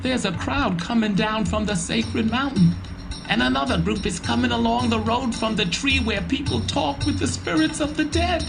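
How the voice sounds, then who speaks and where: Gaal, a gravelly boastful rebel. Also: male voice, very high voice, normal speed. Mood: alarmed. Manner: bragging, defiant. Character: boastful rebel leader Gaal, adult man. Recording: voice over loud music.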